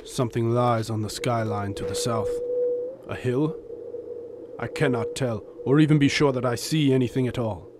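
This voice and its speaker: Male actor, stoic desert companion, devout, medium-pitched, formal archaic phrasing, solemn, audio drama, clear background ambience noise. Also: moderate pace, lightly acted. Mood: watchful.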